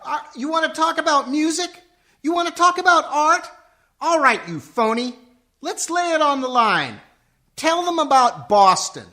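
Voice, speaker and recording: Man acting as young musician son, radio comedy: earnest, melodramatic, very animated and bright. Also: very high-pitched, moderate pace, heavily acted. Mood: confrontational. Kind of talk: comic radio play acting.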